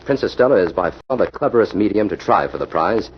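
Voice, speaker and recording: A composed scientist sleuth acted by a man in an old radio mystery. His low voice is measured, firm and dryly amused. The recording is muffled and a little noisy. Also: animated, natural voice. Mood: explaining. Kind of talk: 1940s radio mystery acting.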